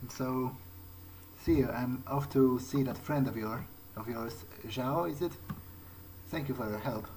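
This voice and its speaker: A man's voice: medium pitch, measured pace, darkish tone, slight background noise, poor audio. A man playing a video game in character, commenting in a hesitant, understated way as if musing to himself. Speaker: let's play host.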